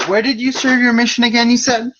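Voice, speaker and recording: A man's voice: very high voice, flat delivery, fast talker, fairly bright tone, muffled recording. A young man in his twenties, a student chatting casually with classmates about courses on a video call, rambling through explanations with lots of fillers. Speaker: student in a group project.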